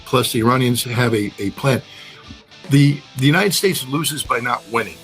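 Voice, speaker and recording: A man's voice: medium pitch, normal speed, fairly bright tone, faint music behind. A man giving blunt, emphatic geopolitical analysis in a conversational way, repeating phrases to drive his points home. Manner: blunt, emphatic, uses repetition. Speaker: political and military analyst.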